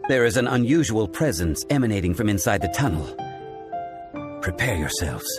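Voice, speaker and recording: Man voicing a low, dignified, commanding gentleman. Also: lively intonation, over music, lightly acted. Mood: grave, commanding. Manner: formal, measured, authoritative. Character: composed, dignified, learned gentleman, commanding and grave. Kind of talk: anime-style video game voice acting.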